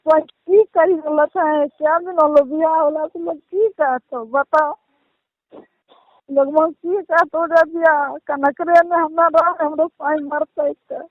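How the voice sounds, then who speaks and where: High-pitched scolding woman on a tinny phone. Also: fairly even intonation, slow, dry recording. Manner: scolding, reproachful, repetitive. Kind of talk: heated scolding phone conversation. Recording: tinny narrowband phone call recording.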